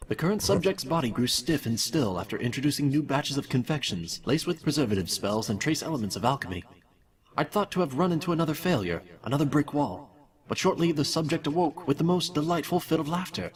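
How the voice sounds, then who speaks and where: A man's voice: a little high, lively intonation, fairly quick, far from the mic, natural voice. A man playing an obsessive villainous candy maker in an audio drama, dictating experiment notes with clinical, theatrical self-satisfaction. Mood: smug, clinical.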